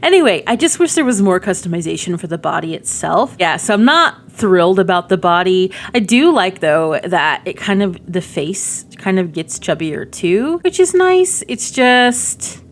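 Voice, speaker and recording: A woman's voice: lowish voice, very animated, normal speed, hardly any pauses. A woman giving casual game demo commentary, chatty and informal, often thinking aloud as she goes. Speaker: gaming video creator.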